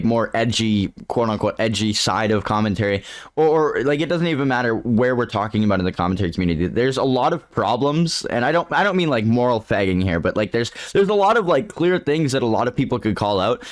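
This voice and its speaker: Twenty-something guy hosting a call, goes on and on with "like" everywhere, middle pitch. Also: smooth. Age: 20s. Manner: long winding points full of "like". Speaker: host. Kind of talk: casual chat on a call. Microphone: video call.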